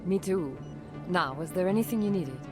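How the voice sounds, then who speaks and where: An actress playing an earnest, soft-spoken female doctor in a video game. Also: fairly low pitch, animated, normal speed, music in the background, lightly acted. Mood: grateful, friendly. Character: grateful female civilian doctor, gentle, earnest.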